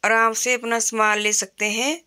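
A woman's voice: on the high side, little pitch movement, normal speed, pushed and bright, clear, resonant tone. A middle-aged woman from Himachal Pradesh, India, chatting plainly with fillers while filming a shop walkthrough on her phone. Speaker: vlogger. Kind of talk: casual shop walkthrough vlog. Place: indoor retail store.

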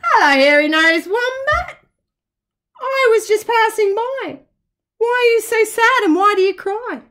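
A woman voicing a kind, comforting female wombat in a children's picture book, gentle and reassuring. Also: extremely high pitch, lively intonation, unhurried, voice pushed up, exaggerated voice. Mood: concerned.

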